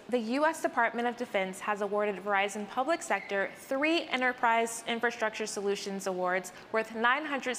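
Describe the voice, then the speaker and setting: A woman with an American accent and a fairly high voice presents scripted company news. Her delivery is that of a polished host. The sound carries long reverb and a faint bed of noise. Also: a bit bright. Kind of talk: scripted news update narration.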